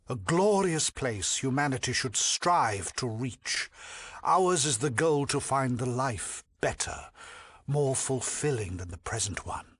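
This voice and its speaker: An actor playing a haughty, sinister cult priest in a video game, pompous and condescending, with silky menace beneath false piety and drops into menacing murmurs. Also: male voice, fairly high pitch, a bit bright, full character voice. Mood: pious, lofty. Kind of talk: theatrical video game voice acting.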